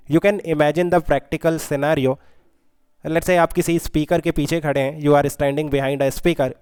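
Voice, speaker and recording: Clear-voiced Indian man teaching, slightly high-pitched. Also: darkish tone, no echo. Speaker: physics teacher. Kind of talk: explanatory lesson, code-switching between Hindi and English.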